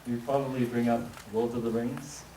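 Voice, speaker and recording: A young man from New Zealand giving a reflective, measured spoken-word monologue on a school stage. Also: mid-range voice, level tone. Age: young adult. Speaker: student speaker. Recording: echoey hall recorded from far off, noisy.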